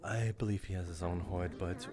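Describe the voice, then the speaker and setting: A man playing a composed, courteous tavern bard in improvised fantasy roleplay, with measured, formal phrasing. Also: low voice, steady tone, lightly acted. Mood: politely amused. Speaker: roleplay streamer. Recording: loud music behind the voice.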